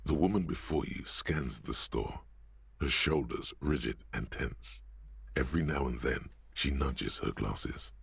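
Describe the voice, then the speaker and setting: A man voicing a game's narrator, with a deep gravelly voice, reading scripted scene descriptions. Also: expressive, a little slow, fairly bright tone, dry recording, exaggerated voice. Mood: somber. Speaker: game narrator.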